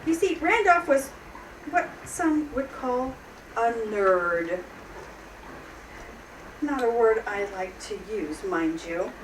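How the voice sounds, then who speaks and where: A woman storyteller with a lively, swooping delivery, reading to children at an unhurried pace with theatrical pauses. She is picked up from a distance in a roomy, noisy recording. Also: fairly high pitch. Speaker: storybook reader.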